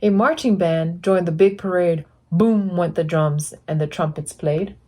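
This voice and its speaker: A woman reading a picture book aloud as a volunteer storyteller, animated and putting deliberate emphasis on sound words. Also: on the low side. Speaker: volunteer children's book reader.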